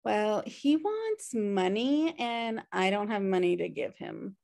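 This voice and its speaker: A woman's voice: mid-range voice, lively intonation, takes it slowly, gravelly, darkish tone. A woman playing a chill, messy, sarcastic twin sister in a comedy table read on a video call. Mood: wry, exasperated. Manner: wry, sarcastic. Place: home room, video call.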